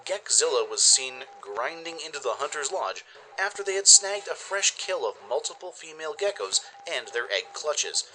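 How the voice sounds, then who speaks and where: A man's voice: mid-range voice, bright, forceful tone, a little hiss or hum, rough recording. Man playing a wry, punchy wasteland radio DJ. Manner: wry, mock-serious storytelling. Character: wasteland pony radio DJ, wry gossipy newscaster. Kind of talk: radio news bulletin.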